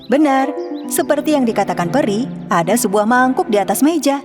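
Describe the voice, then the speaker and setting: Woman, children's story narrator, calm, clear, fairly high voice, music behind. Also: fairly quick.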